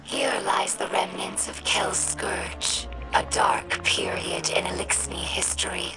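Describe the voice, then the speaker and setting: An earnest young alien chronicler, tells game lore at a slow, reflective pace. Her voice has a strong gravelly creak, and clear music plays behind her. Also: medium pitch, rough recording. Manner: measured, reflective storytelling. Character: earnest, thoughtful young female alien scribe. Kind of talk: scripted game narration of lore. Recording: music under the voice.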